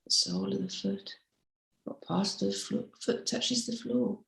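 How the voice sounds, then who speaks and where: Woman doing a slow gentle body scan meditation, really creaky voice, roomy echo. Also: mid-range voice, steady tone. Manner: gentle, unhurried, with soft instructive phrasing. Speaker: mindfulness teacher leading a body scan. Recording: roomy, echoey sound.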